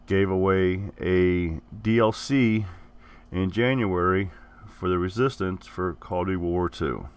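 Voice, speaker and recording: Gaming host, low male voice, a bit rough from the flu, rambles and restates himself. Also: a little slow. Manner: rambling, repeats and corrects himself. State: hoarse, getting over the flu. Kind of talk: casual giveaway announcement.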